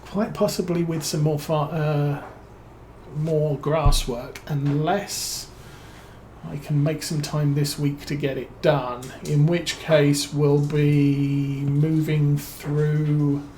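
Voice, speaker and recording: A man with a British accent giving relaxed let's-play commentary as a streamer, musing and thinking aloud with plenty of fillers. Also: fairly high pitch, slow, slight background noise. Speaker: game streamer.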